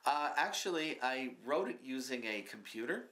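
A man's voice: mid-range voice, expressive, normal speed, bright, forceful tone. A man in his fifties, answering in an earnest, matter-of-fact way with lots of ums, seated in a small studio. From Canada. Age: 50s. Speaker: comedy writer, guest. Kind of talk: mock interview, plain answers. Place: small studio with curtain backdrop.

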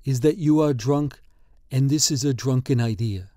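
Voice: mid-range voice, moderate pace, warm tone, dry recording, close to an everyday voice. A reader voicing skeptical young painter, dismissive, plain voice, dry close sound.

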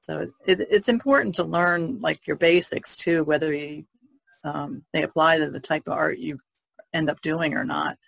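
Woman with a flat, creaky voice. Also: low voice, moderate pace, dry recording, rough recording. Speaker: podcast panelist. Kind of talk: casual discussion of art.

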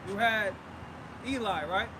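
A man's voice: high voice, measured pace. A man preaching and debating outdoors on a city street, blunt and assertive, calling people bro. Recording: loud street traffic noise.

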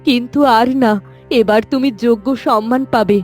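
A woman's voice: a little high, steady tone, moderate pace, soft background music, natural voice. Stern older queen mother, voiced by an actress. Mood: remorseful, commanding. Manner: solemn, commanding. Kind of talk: cartoon fairy-tale voice acting.

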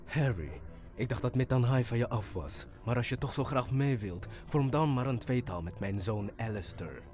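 A man playing a strict science teacher in an amateur cartoon dub, scolding and lecturing with a lively, up-and-down delivery. He sits at a middling pitch. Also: moderate pace, soft background music, close to an everyday voice. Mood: stern. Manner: stern, scolding.

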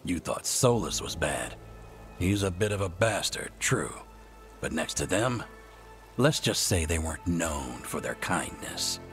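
A deep, low-voiced veteran with a blunt, sardonic streak. He talks like someone who has seen too much to be impressed. This is video game cutscene dialogue. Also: sing-song, unhurried, soft background music. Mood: gruff. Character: gruff veteran male magic expert, blunt and wry.

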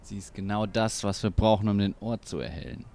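A cocky gangster boss in an audio drama. He talks tough and boastful in a fairly low voice, bent on revenge, with faint noise behind him. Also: a little fast, gravelly, warm tone. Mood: gloating. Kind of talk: German audio drama acting.

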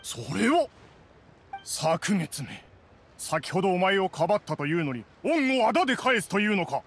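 A man playing a dignified, grumbling immortal in human guise in an anime game, with formal, archaic and measured speech. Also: sits high, very animated, a bit bright, a little hiss or hum, full character voice. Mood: exasperated.

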